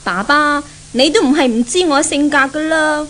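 A woman's voice: high voice, moderate pace, fairly bright tone. An actress playing a spoiled, willful young woman in a 1970s radio drama, haughty and mocking, with a Hong Kong accent. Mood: scornful, petulant. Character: spoiled, willful, thrill-seeking young woman, doted-on only daughter.

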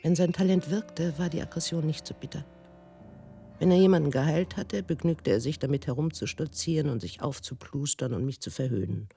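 A woman playing an embittered, wounded, educated wife in a radio drama monologue, husky and brooding, in deliberate, halting phrases. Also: sits low, dark tone, soft background music. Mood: bitter, regretful. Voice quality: husky, gravelly.

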